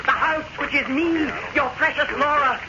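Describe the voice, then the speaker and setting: Actress as possessing evil stepmother, very high female voice, scornful, commanding, old radio horror drama, muffled recording. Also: fairly even intonation, normal speed, natural voice. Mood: furious, defiant. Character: imperious evil dead stepmother possessing a young woman. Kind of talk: 1970s radio mystery acting. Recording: dull old radio recording, crowd noise behind.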